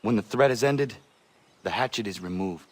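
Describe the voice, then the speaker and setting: An actor playing a righteous, impassioned young warrior in a game cutscene, with a formal and intense delivery. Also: male voice, lowish voice, moderate pace, close to an everyday voice. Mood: solemn. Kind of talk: dramatic game cutscene acting.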